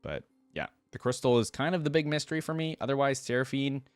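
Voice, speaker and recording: A man's voice: medium pitch, quick pace, clear, resonant tone, no echo, faint music behind. A man hosting a reaction channel in an informal, enthusiastic way, reading story text aloud and commenting on it. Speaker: host of a game lore reaction channel.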